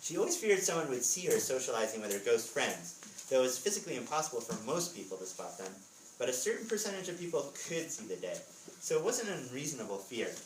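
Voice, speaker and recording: A man's voice: medium pitch, big pitch swings, quick pace. A man reading a story aloud as an author at a readers theatre, with casual asides. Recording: echoey room, distant mic, background noise.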